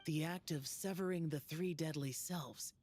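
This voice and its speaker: A woman as a low-voiced, composed young heroine. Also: slight background noise, close to an everyday voice. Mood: curious, polite. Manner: measured, polite. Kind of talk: anime video game voice acting. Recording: loud music behind.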